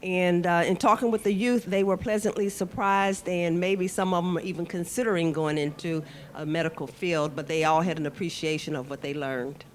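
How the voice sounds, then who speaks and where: A woman's voice: lowish voice, fairly even intonation, moderate pace. A woman hosting a local TV talk show, interviewing guests in an upbeat, enthusiastic manner. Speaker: TV show host. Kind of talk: friendly interviewing.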